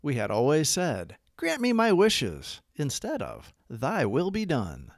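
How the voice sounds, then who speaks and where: A man narrating a scripted daily recovery reflection as a devotional read-aloud, with measured, emphatic phrasing. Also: on the high side, wildly swooping pitch, no room sound, clean studio sound.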